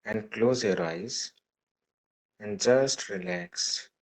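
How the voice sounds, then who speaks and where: A man's voice: fairly low pitch, drawn-out words, gravelly, rough recording. A man leading a guided meditation, giving simple instructions and repeated affirmations as a meditation guide. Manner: instructing, repeating set phrases. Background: music.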